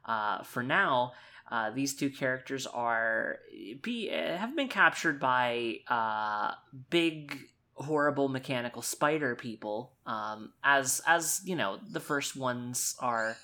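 A woman's voice: extremely low pitch, expressive, slow pace, bright, forceful tone. A woman co-hosting a tabletop game podcast in casual, joking chat. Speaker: podcast co-host.

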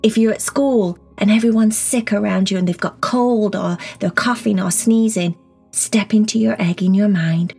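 Soft, breathy woman guiding a children's meditation. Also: medium pitch, steady tone, normal speed. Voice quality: soft, breathy, often near a whisper. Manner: gentle, reassuring, simple wording for children. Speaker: guided meditation teacher and host. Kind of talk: children's guided meditation, slow soothing instruction. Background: soft background music.

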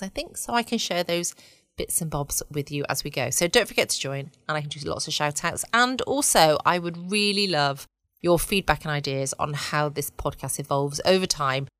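Low-voiced English woman, close and dry. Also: a bit bright, no room sound. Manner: cosy, chatty, playful asides. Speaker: podcast host. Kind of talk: intimate late-night bedtime podcast chat. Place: at home, close and dry-sounding room.

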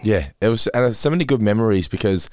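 An Australian man in his thirties with a hoarse voice, talking animatedly and bluntly as a podcast co-host. His pitch sits on the low side, and the sound is dry and close to the mic. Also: fast, no echo. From Geelong, Australia. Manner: animated, opinionated storyteller, swears. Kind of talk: casual chat.

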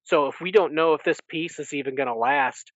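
A man's voice: on the high side, a little fast, no room sound, highs cut off. A man hosting a casual book recap podcast, retelling things in an enthusiastic, rambling way with acted-out asides. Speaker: podcast host.

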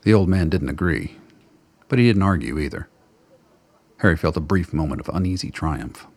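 Very deep, husky male narrator. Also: expressive, moderate pace, darkish tone, no echo. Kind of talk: scripted radio play narration.

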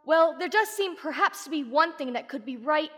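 A young woman plays an excitable, plucky heroine in an old-time radio mystery. Her voice is extremely high and bright, echoing in a long hall reverb. She is earnest and emphatic, with an anxious edge. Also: moderate pace. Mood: excited. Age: young adult. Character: eager, plucky, anxious young female sleuth's companion. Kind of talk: classic radio drama acting.

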